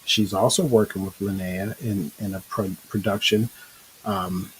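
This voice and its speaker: A middle-aged man hosting a casual online talk show, relaxed and rambling with many restarts. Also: fairly low pitch, a little slow, slight background noise, poor audio. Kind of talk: casual live-stream talk.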